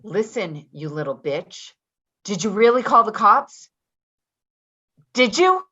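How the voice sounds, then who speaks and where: A woman's voice: medium pitch, very animated, slow, sharp tone, lo-fi sound, full character voice. A woman acting in a play reading as a volatile, foul-mouthed woman who makes confrontational, threatening demands. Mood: frantic, threatening.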